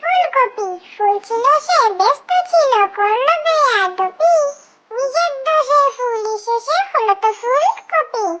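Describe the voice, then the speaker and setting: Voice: extremely high pitch, animated, slow. A tiny childlike voice reciting nursery rhymes in a sing-song way, on a very noisy track with clicks.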